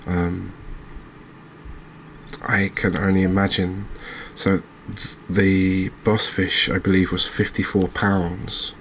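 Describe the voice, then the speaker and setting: A man giving soft-spoken ASMR commentary as he plays a video game, in a measured, gentle, chatty manner. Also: low voice, little pitch movement, slight background noise. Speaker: host playing a fishing video game.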